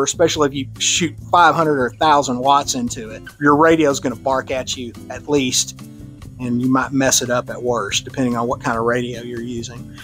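A man's voice: medium pitch, fairly bright tone. A man with a Texan accent giving a casual, conversational technical talk to a radio club, with easy fillers and restarts. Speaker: club presenter on radio antennas. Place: club meeting room.

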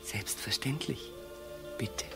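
An actress playing a cool, mocking widow with a self-possessed, provocative manner in a radio crime drama. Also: female voice, slow, loud music behind the voice, lightly acted. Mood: sarcastic. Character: cool, scornful, provocative widow of a murdered man.

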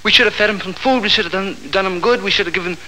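Man putting on a high, nagging, exasperated wife. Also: rapid-fire, sharp tone, rough recording. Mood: exasperated scolding. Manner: nagging, complaining, high-strung. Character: exasperated, scolding wife of a bohemian household.

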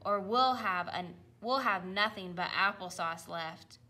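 A woman's voice: mid-range voice, a little slow, pushed and bright. A woman voicing a kindly, easygoing male farmer in a storybook, with a thoughtful way of talking. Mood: amused.

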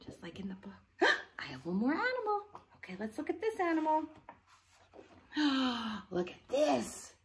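A woman's voice: high voice, wildly swooping pitch, a little slow, a little hiss or hum. A middle-aged woman leading an interactive read-along for young children, with an exaggerated sing-song delivery. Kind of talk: interactive picture-book reading for young children. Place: living room at home, indoors.

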